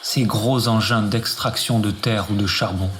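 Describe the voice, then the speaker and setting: A man's voice: on the low side, fairly even intonation, unhurried. A man delivering a scripted, poetic radio monologue with music behind him. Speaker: narrator.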